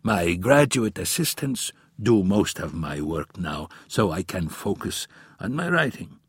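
A man voicing a frail elderly male professor in an audiobook, with a raspy, slightly unsteady voice and a slow, grave, weary way of speaking. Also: low-pitched, very animated, no room sound, studio quality, full character voice. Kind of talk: audiobook character voice.

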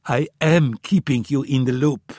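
A man's voice: mid-range voice, normal speed, a little mellow, no echo, studio quality, natural voice. A man voicing a blunt, combative Israeli intelligence chief in an audiobook, exasperated and emphatic in manner. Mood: earnest, insistent.

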